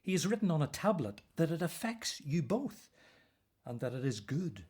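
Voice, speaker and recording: Husky, solemn temple messenger. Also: male voice, a little high, big pitch swings, moderate pace, darkish tone. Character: Jacob, solemn, kindly male temple colleague. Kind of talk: radio play acting.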